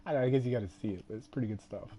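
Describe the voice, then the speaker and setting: A man's voice: mid-range voice, very fast, dark tone, slight background noise. A young man with a Texan Southern accent, chatting casually and rambling while he streams a game, laughing often. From Texas. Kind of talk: casual live-stream chat while gaming.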